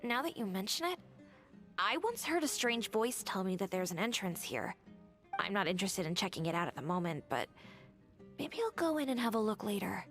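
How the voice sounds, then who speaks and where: A young woman in a video game. Her voice sits at an average pitch and is soft-spoken and earnest. Light music plays under her lines. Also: very animated, a little fast. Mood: calm, reassuring. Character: earnest young woman clinging to a comforting dream. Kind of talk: video game character acting.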